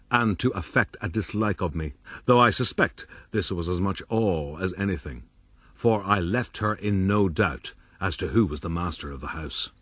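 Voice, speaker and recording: An actor playing a vain, self-satisfied gentleman adventurer, pompously narrating his own rise in a radio drama. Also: sits low, breathy edge, dry recording, heavily acted. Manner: pompous, formal. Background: music.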